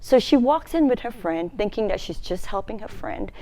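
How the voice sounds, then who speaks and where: A woman's voice: mid-range voice, lively intonation, moderate pace, warm tone, slight background noise, rough recording. A woman from Malaysia, a charity founder, telling her life story as a guest in a TV studio, laughing often. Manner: chatty, laughs often while telling stories. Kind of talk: casual interview storytelling. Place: local TV studio.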